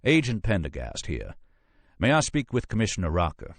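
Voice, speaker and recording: A man's voice: fairly low pitch, wildly swooping pitch, normal speed, no room sound, exaggerated voice. A man voicing a coolly manipulative, courteous but commanding FBI agent with a Southern accent, in an audiobook. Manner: courteous, turning sharp and officious.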